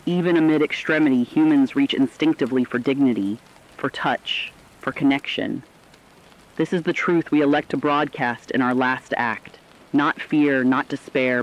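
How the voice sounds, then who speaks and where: A man's voice: medium pitch, close to an everyday voice. A man playing a solemn, compassionate radio broadcaster in humanity's final hours, with earnest, careful phrasing in a scripted radio drama. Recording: dull, muffled audio.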